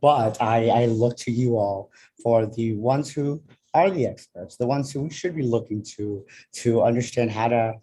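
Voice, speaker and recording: A young man hosting an online panel discussion, speaking haltingly with many pauses and restarts. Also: medium pitch, dark tone, rough recording. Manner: halting, full of ums and restarts. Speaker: panel moderator.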